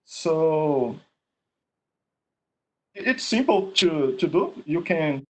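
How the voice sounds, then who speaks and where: A man with a Portuguese accent, a native Portuguese speaker, walks through a software demo on a video call in a halting way. He repeats words and often ends a point with a questioning "okay?". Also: fairly high pitch, sing-song, rough recording. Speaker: contest winner presenting his project. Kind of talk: screen-share demo in an online meetup.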